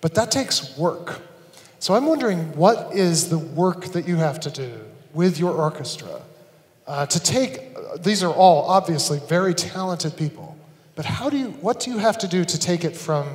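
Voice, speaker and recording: Higher-voiced male host in a hall. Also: animated, normal speed. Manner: earnest, fluent questioner with fillers. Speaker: conference host. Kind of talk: onstage interview. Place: large hall stage. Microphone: handheld microphone. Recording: long hall reverb.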